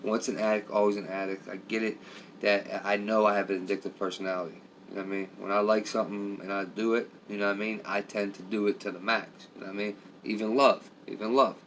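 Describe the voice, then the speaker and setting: A middle-aged man with a raspy, low voice rambles to his viewers on a live stream, leaning hard on filler phrases. He sounds tired and worn, and a faint background hum sits under the talk. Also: little pitch movement, fast, fairly bright tone. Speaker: vlogger.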